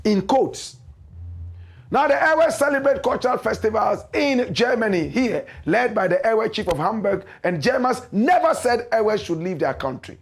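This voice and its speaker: Ghanaian guy hosting, reading viewer comments, high voice that jumps all over, kinda husky. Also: moderate pace. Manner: animated and preachy, swinging between loud high exclamations and low muttered asides. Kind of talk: casual live-stream commentary.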